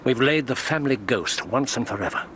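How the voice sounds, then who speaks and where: An actor with an English accent playing a driven, commanding detective in a radio drama, urgent and clipped in manner. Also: male voice, medium pitch, lively intonation, fairly quick, noisy background, close to an everyday voice. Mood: grave, resolute. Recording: radio drama with loud sound effects behind.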